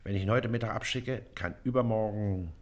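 An actor playing a self-important, impatient Prussian district official in a period stage comedy, condescending and sarcastic. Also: male voice, lowish voice, brisk, natural voice. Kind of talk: theatrical period comedy acting in German.

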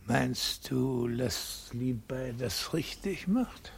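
An actor playing a cold, health-obsessed husband in a 1950s German horror radio play, speaking with husky, fretful deliberation. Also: male voice, mid-range voice, lively intonation, very slow, exaggerated voice. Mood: fretful, reproachful. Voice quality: husky, creaky.

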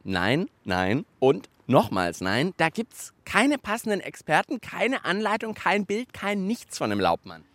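Playful high-voiced male kids' host. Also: huge pitch swings, a little slow, few pauses, a bit bright, no echo. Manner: playful, enthusiastic. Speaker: children's radio host. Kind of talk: lively kids' show presenting. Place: outdoors in a park.

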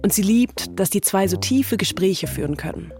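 A woman's voice: mid-range voice, expressive, moderate pace, music in the background. A woman co-hosting a documentary podcast, reading scripted narration.

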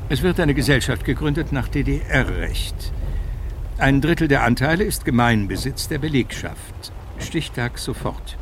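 Sober male narrator over noisy ambience. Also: mid-range voice. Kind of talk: scripted literary narration, documentary-like.

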